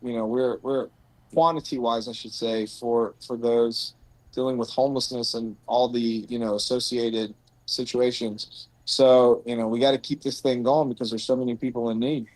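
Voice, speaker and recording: A man's voice: mid-range voice, level tone, normal speed, darkish tone, dry recording, low-quality recording. A man in his late thirties who runs a rescue mission, talking earnestly and thinking aloud in a casual conversation outdoors. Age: 30s. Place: outdoors on a bench.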